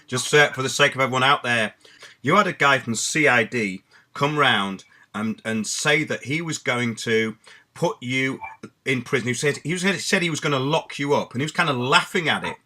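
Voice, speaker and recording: A man's voice: medium pitch, normal speed, pushed and bright. A man hosting an interview, talking in an emphatic, assertive way. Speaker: interviewer and show host. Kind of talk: interview talk.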